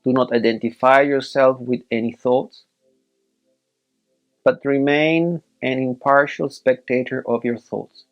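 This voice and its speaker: A man leading a guided meditation as a teacher, giving measured instructions in short, separated phrases. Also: medium pitch, fairly even intonation, lo-fi sound, faint music behind.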